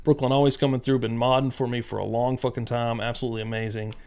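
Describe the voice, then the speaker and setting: A man hosting a livestream, excitable and profane, giving hyped shout-outs while losing his voice. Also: mid-range voice, fast, clear, resonant tone. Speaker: livestream host. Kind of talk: casual livestream chat.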